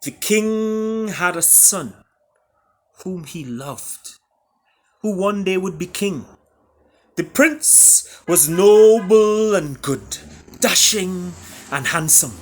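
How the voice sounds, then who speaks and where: A man with a Trinidadian accent, an author and storyteller speaking theatrically, with booming emphasis and hushed drops. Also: expressive, drawn-out words. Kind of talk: dramatic storybook reading and introduction.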